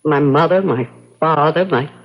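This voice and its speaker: A woman's voice: deep voice, unhurried. An actress playing a sentimental, gushing woman in a 1970s radio drama, with a theatrical, earnest manner. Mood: wistful, confiding. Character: Connie, sentimental, gushing, forgiving lady friend of a ghost's lover. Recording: dull, lo-fi old radio recording.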